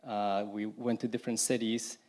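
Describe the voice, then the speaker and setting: A young man from Colombia giving a personal testimony at a church service, speaking in a halting way with frequent restarts and "you know". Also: fairly low pitch, little pitch movement, a little mellow. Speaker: congregation member giving testimony.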